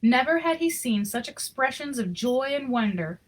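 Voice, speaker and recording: A woman reading a picture book aloud in an expressive storytelling style, with casual, informal asides. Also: high voice, normal speed. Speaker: reader of picture books aloud.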